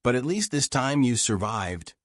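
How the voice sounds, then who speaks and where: A man's voice: medium pitch, lively intonation, normal speed, no echo, studio quality, natural voice. A man voicing an eager, curious young woman who hopes to write, speaking politely and inquisitively in audiobook dialogue.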